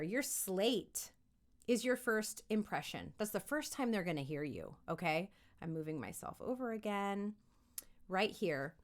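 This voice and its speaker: A woman giving an upbeat, conversational lesson as a voice acting coach, animated and encouraging, often checking in with listeners. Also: medium pitch. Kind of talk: upbeat instructional talk to camera.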